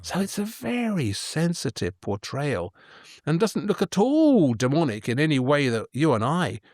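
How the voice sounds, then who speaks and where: A man's voice: fairly high pitch, sing-song, moderate pace, darkish tone, no room sound, clean studio sound. A man co-hosting a casual art history chat, telling stories with playful enthusiasm and asking his co-host questions. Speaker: podcast co-host.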